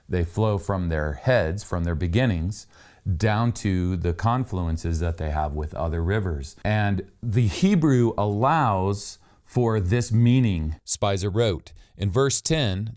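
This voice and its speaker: A man's voice: fairly low pitch, darkish tone, dry recording, studio quality. A middle-aged man who grew up in Saudi Arabia, explaining and narrating as the host of an expedition documentary vlog.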